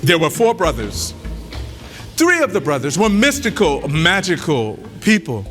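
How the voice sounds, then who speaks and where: A man's voice: a little high, wildly swooping pitch, a little slow, fairly bright tone, faint music behind. A man telling a folktale aloud to an audience in a dramatic way, calling out to his listeners. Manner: dramatic, call-and-response with the audience. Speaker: storyteller.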